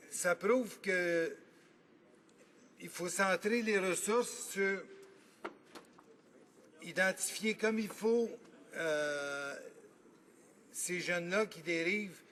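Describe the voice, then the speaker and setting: A man with a Quebec French accent, a member of parliament giving an earnest, argumentative debate speech in a legislative chamber and pausing often to think. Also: high voice, fairly bright tone. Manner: earnest, argumentative, thinking aloud with frequent pauses.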